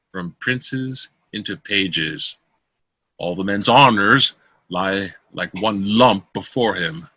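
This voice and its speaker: A man reading Shakespeare verse drama aloud as an amateur, in a theatrical, declaiming manner with a husky voice. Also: on the low side, sharp tone, dry recording. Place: close dry room, online call.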